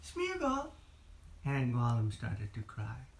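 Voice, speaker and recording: A woman with a low voice tells a children's bedtime story in simple words. She goes slowly, in an echoey room with faint noise. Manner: simple, repetitive phrasing for young listeners. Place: indoors in a room.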